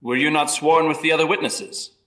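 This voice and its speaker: A man's voice: on the high side, fairly quick, a bit bright, lightly acted. A man acting a courteous, probing lawyer who questions witnesses formally in a historical courtroom drama. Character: courteous, probing male colonial defense lawyer. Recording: roomy echo, distant mic.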